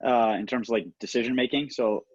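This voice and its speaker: A man chatting casually on a coaches' call, halting and peppering his talk with "like" and "you know". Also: steady tone, slightly rough, no echo. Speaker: participant in a coaches' conference call. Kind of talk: casual chat. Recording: dull, dry low-fidelity call audio.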